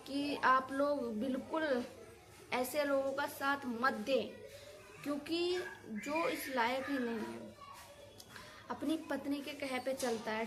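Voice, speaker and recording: High-pitched woman in an indignant rant. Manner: indignant, scolding, repetitive colloquial venting. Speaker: reaction video creator. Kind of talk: casual opinionated rant to viewers.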